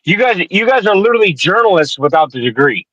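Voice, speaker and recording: A young man from the Detroit area, rambling and swearing freely through a casual sports chat. His voice sits a touch high for a man, and the recording is dry and close with no room sound. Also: sing-song, fast, a bit bright, no room sound, muffled recording. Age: 20s. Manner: profane, rambling, joking.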